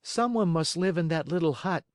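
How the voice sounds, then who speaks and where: A man voicing an eager young wooden marionette boy with a bright, earnest voice. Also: on the high side, animated, normal speed, no room sound, clean studio sound, voice pushed up, natural voice.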